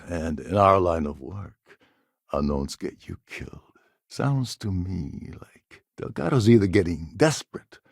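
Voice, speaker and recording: Gravelly, sardonic roguish starship captain. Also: male voice, deep voice, darkish tone, no room sound, clean studio sound, full character voice. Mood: guarded, smooth. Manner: sardonic, smooth-talking schemer. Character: roguish, wry male starship captain and former pirate. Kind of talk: video game character dialogue.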